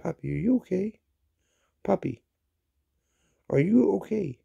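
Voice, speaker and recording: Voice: medium pitch, lively intonation, unhurried, vocal fry, dark tone, breathy edge, no echo, dull audio. A pet owner talking casually to a dog, coaxing and repeating questions in baby talk, recorded on a handheld phone in a small bedroom. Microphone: handheld phone held near the speaker.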